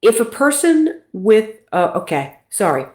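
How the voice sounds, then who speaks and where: A woman's voice: mid-range voice, sing-song, unhurried, a little mellow, echoey room. A middle-aged woman from Philadelphia, a nurse practitioner hosting a casual livestream talk in a chatty, self-deprecating way.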